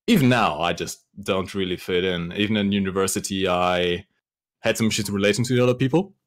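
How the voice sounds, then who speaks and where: A young man from Germany whose first language is German talks about his past in a fairly low voice. He answers thoughtfully and hesitantly, with fillers and restarts along the way. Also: fairly quick. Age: young adult. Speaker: interview guest. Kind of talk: casual interview.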